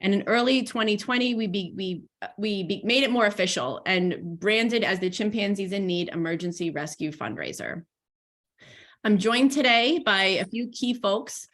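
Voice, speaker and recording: A woman's voice: mid-range voice, normal speed, a bit bright. A woman hosting a video-call briefing as program director, a little stuffy from a cold, upbeat with small restarts.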